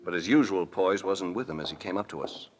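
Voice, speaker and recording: A man's voice: low-pitched, fairly quick, slight background noise, natural voice. An actor playing a suave, wry adventurer hero who narrates his own case in an old radio detective drama. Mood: wry narration. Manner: smooth, wry, flirtatious, unflappable. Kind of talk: 1940s-50s radio detective drama acting and first-person narration.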